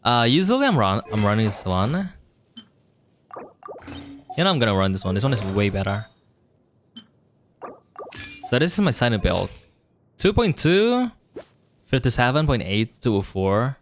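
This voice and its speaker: Young male streamer, non-native English accent, loose rambling chat, very lively pitch. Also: normal speed, long pauses, slight background noise. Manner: chatty, rambling, reads and answers chat. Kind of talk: casual chat while playing a game.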